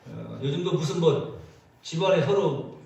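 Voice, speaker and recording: Lively male pastor, echoey church. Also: fairly high pitch, a little slow, creaky. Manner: animated, storytelling with acted quotes. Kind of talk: sermon. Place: church sanctuary. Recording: echoey church sound from a distance.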